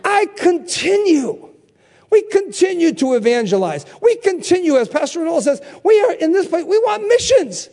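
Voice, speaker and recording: Older guy preaching, really high voice, lots of call and response, repeats himself for punch. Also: very animated, moderate pace, dark tone. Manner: call-and-response, emphatic repetition. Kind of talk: sermon.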